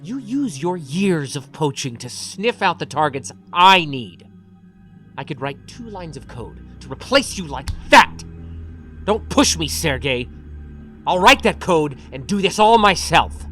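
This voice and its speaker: A man's voice: fairly high pitch, big pitch swings, measured pace, sharp tone, soft background music, full character voice. A man playing an arrogant, short-tempered tech villain in a dramatic script read, condescending and threatening.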